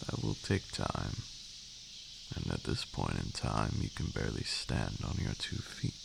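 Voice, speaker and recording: A man voicing a haughty but protective ancient vampire lord in a soft, teasing ASMR roleplay, with formal old-fashioned phrasing and a breathy murmur. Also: very deep voice, wildly swooping pitch, a little slow, reverberant, background noise, exaggerated voice. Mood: approving, instructive.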